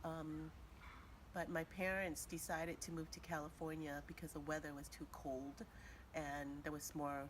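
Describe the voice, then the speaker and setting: A woman in her fifties, born in Vietnam, recalling her family's flight in a hesitant way, with many pauses and fillers. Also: deep voice, flat delivery, a little hiss or hum, poor audio. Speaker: interviewee. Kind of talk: recounting family history.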